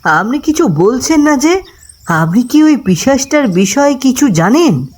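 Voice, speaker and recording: A woman playing a frightened, bewildered young villager in a cartoon story, speaking in a halting, stammering manner. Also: high voice, big pitch swings, darkish tone, full character voice.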